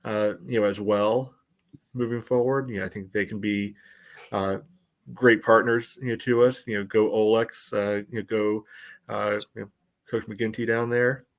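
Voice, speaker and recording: A man's voice: on the low side, fairly even intonation, normal speed. A man co-hosting a podcast in casual sports chat, often saying "you know" as he goes. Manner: peppers speech with "you know". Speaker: podcast co-host.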